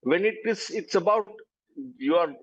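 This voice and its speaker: A man's voice: high-pitched, animated, normal speed, breathy edge, muffled recording. A man whose first language is Urdu, arguing emphatically as a panelist in a heated TV debate and repeating words as he presses his point.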